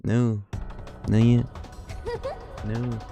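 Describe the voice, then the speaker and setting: A man's voice: mid-range voice, little pitch movement, very slow, mellow. A man giving casual, rambling live commentary while he plays a game, thinking aloud and swearing freely. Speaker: game livestreamer. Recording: music behind the voice. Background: game music.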